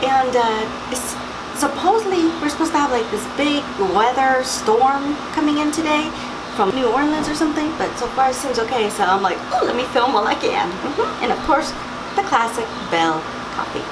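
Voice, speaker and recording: A young woman with a slightly raspy morning voice, chatting casually through a beauty box unboxing and reading product labels aloud. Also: sits high, animated, moderate pace, background noise. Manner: chatty, reads labels aloud, frequent mm-hmms. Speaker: beauty vlogger.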